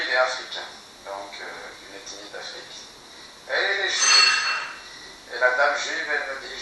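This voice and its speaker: A man's voice: medium pitch, pushed and bright. A man, an ethnopsychiatry researcher and therapist, explains his work in an interview, mixing anecdotes with rhetorical questions. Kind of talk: interview answers. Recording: echoey room, distant mic, background noise.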